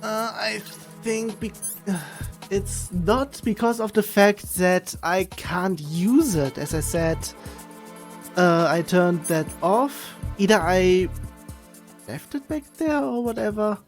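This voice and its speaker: Slow-talking woman streaming a game. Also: medium pitch, soft background music. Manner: hesitant, halting, muttering to self. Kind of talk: casual gameplay commentary.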